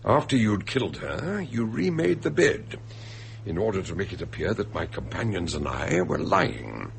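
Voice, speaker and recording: A shrewd, eccentric detective in a radio drama, over faint hum. His low voice swoops extremely widely as he explains with deliberate, theatrical emphasis and calm assurance. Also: creaky, heavily acted. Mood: accusing. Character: eccentric, shrewd male detective calmly expounding a murder.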